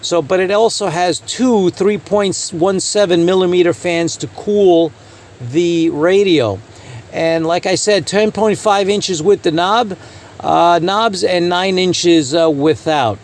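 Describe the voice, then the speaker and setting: A man with a slightly high-pitched voice hosts an informal gear review. His delivery is halting, broken up by frequent filler pauses, and he often circles back to repeat a phrase. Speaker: channel host reviewing radio gear. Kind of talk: informal product review commentary.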